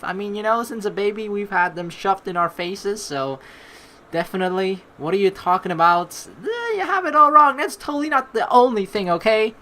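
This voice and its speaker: A woman's voice: medium pitch, a bit bright. A young woman doing playful, slangy let's play commentary and reading game narration aloud.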